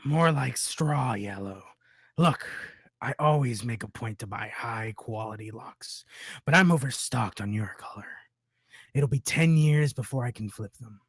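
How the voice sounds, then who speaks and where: A man's voice: mid-range voice, measured pace, breathy edge, dry recording. A man voicing a sly, shrewd goblin merchant in a dry, knowing, understated manner at a script table read. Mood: dismissive.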